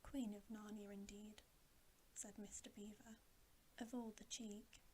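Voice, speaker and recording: A woman reads a bedtime story in a breathy ASMR whisper. Her delivery is slow and gentle, with little movement in pitch. The tone is soft and mellow, over a faint bed of noise. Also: level tone. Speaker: ASMR reader.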